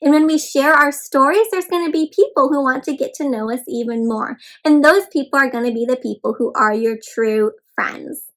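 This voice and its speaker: A woman's voice: high-pitched, pushed and bright, rough recording. A woman hosting an interactive storybook read-aloud for young children, speaking expressively and encouragingly and often putting questions to her listeners. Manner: addresses listeners as friends.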